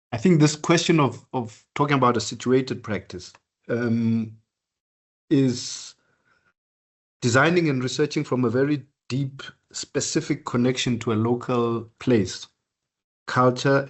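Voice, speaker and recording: An older man from southern Africa gives a lecture on his work. He speaks in a measured, halting way, pausing often on fillers and starting phrases over. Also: medium pitch. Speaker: architect lecturer. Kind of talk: online practice lecture.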